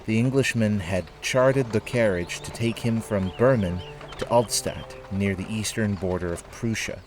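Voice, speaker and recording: A man narrating a scripted audio drama in a measured storytelling manner. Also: fairly low pitch, slight background noise. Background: music.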